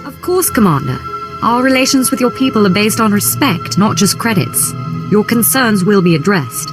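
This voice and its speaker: A woman's voice: mid-range voice, animated, normal speed, natural voice. A woman playing a poised, courteous Imperial diplomat in a video game, speaking formally and tactfully. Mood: polite, earnest. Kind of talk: video game character acting. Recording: dramatic music behind.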